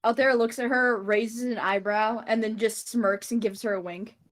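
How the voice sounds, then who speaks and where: A woman's voice: fairly high pitch, fairly even intonation, moderate pace. A woman chatting casually and improvising narration of her character as a tabletop game player.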